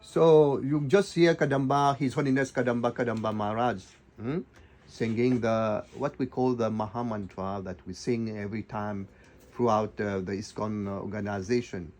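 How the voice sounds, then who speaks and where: A man hosting an informal radio interview, speaking haltingly and rephrasing as he goes. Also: on the low side, darkish tone. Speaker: radio host. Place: radio studio.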